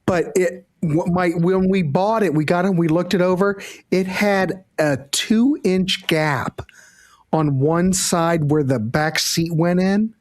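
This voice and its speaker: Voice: mid-range voice, takes it slowly. A host on a casual livestream panel, telling stories with a wry, teasing manner. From Central Ohio.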